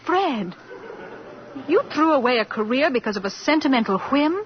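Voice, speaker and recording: A woman's voice: high-pitched, expressive, normal speed, slight background noise. A woman playing a practical, sceptical sweetheart in a 1940s radio drama, level-headed and reproachful. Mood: dismayed. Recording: dull 1940s radio broadcast.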